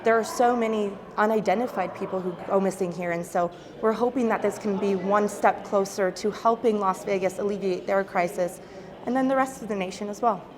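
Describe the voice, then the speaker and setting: A woman delivers a prepared product presentation to an audience. Her voice sits at a middle pitch, and she speaks as a company presenter addressing a public gathering. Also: a little fast, a crowd in the background. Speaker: presenter for an app developer.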